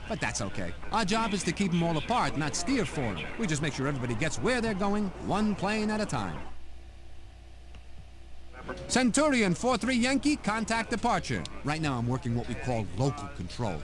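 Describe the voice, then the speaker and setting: A man's voice: fairly high pitch, very animated, moderate pace, a crowd in the background. A man playing an easygoing, seasoned air traffic controller, chatting in a folksy, dryly joking way as a tour guide in a computer game. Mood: brisk, procedural. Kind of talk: scripted casual tour narration with radio calls.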